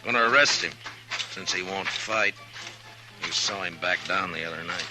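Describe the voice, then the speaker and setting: An actor as a deep-voiced stern frontier marshal. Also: male voice, moderate pace, close to an everyday voice. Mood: determined. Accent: American. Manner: curt, commanding. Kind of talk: 1950s radio western acting. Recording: 1950s radio recording with music.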